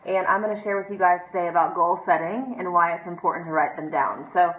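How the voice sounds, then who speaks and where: A congested, stuffy-sounding woman talks newcomers through a lesson in a relaxed, encouraging way, with lots of ums. Her voice sits a little low. The sound is dull and lo-fi, with a roomy echo. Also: fast talker. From United States. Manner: chatty, many ums, encouraging. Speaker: team trainer. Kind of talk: informal training talk to camera. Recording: echoey room, dull lo-fi sound.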